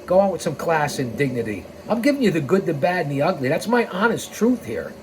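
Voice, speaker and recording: A man's voice: fairly high pitch, very animated, fairly quick, a little mellow, a little hiss or hum, poor audio. An American man in his sixties hosting a podcast, reading aloud and breaking off into emphatic, rambling commentary addressed straight at people. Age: 60s. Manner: emphatic, rambling, with sidetracks and direct appeals. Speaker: podcast host.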